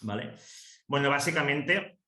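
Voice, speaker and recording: Hesitant man with a bright voice. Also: medium pitch, lively intonation, unhurried, reverberant. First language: Catalan. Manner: hesitant, many fillers and restarts. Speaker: seminar speaker on housing cooperatives. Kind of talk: online seminar presentation.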